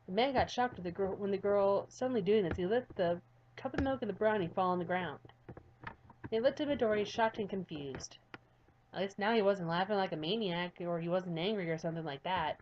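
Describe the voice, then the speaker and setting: Woman narrator for a fan visual novel, mid-pitched, earnest and a bit stumbling, dull lo-fi audio. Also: darkish tone. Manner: earnest, slightly stumbling reading. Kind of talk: storybook-style narration.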